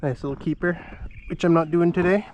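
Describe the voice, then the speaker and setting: A man's voice: fairly high pitch, a little fast, dull audio. A man, congested from a cold, chatting casually while fishing from a boat on a lake. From Canada. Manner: relaxed, chatty, talks to himself and the fish. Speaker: fishing vlogger. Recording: windy outdoor recording with clear noise.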